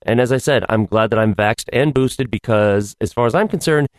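A man hosting a casual solo podcast, hoarse, rambling with wry asides. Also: medium pitch, moderate pace, no echo, studio quality. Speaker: podcast host.

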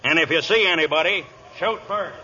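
An actor playing a gruff small-town police chief who barks orders in a 1930s radio play. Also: male voice, on the high side, brisk, sharp tone. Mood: bossy. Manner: bossy, barking orders. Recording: dull old radio broadcast with hiss.

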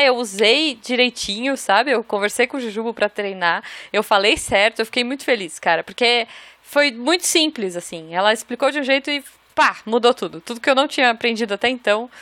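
A bubbly woman with a somewhat high voice chats in a lively podcast, full of exclamations. Her voice sounds close and dry, without any room echo. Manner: bubbly, laughs often, lots of exclamations. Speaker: podcast co-host. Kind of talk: casual banter and testimonial.

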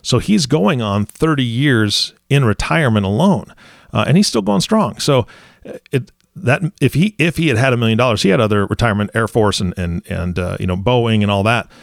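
A man's voice: mid-range voice, animated, normal speed, gravelly, no echo, studio quality. A man in his forties hosting a podcast, chatting casually and laughing easily as he lays out hypothetical questions. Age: 40s. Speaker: podcast host. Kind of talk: casual interview chat.

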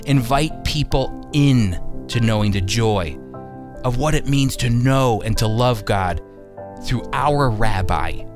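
A man teaching the Bible in an earnest, emphatic and deliberate way, in a sermon style. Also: medium pitch, expressive, over music.

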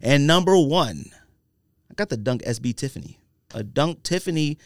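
A man's voice: a little high, animated, normal speed, no room sound. Casual man talking on a podcast, close and dry. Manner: informal, slangy, opinionated. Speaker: podcast host. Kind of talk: casual sneaker podcast chat.

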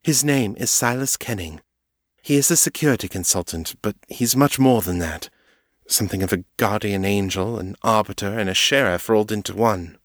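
A man's voice: lowish voice, moderate pace, dry recording, studio quality, lightly acted. A man voicing a blunt, principled female vampire who presses her points directly. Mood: firm.

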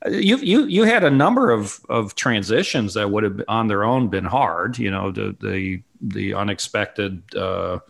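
A man's voice: lowish voice, a little slow, vocal fry, few pauses. A man in his late fifties hosting an interview, asking long, rambling questions with many restarts. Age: 50s. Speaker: interview host. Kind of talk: conversational interview.

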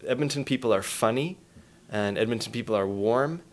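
A man's voice: medium pitch, fairly quick. A man answering as an interview guest in casual, reflective talk.

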